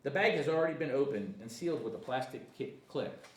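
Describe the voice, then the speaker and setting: Animated man narrating in an echoey room. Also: medium pitch, a little fast, distant mic. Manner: stumbles and repeats words while reading. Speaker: stage-direction narrator. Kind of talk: table read of a comedy screenplay. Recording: echoey room with faint noise.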